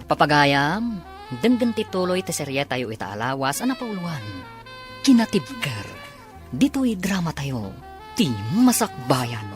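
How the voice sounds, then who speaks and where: A woman's voice: deep voice, very animated, unhurried. A woman announcing a drama series in a dramatic, scripted intro style. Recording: music under the announcement.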